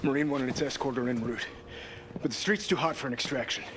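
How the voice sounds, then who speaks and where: An actor playing the head of a president's protective detail, talking in a clipped, professional way. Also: male voice, medium pitch, fast talker, noisy background, natural voice. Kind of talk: game cutscene acting.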